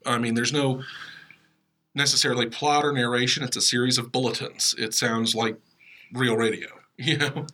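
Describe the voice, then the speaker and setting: A man's voice: mid-range voice, steady tone, moderate pace, pushed and bright. A man with an American accent co-hosts a casual podcast chat with wry, teasing humor. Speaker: podcast co-host and radio play writer.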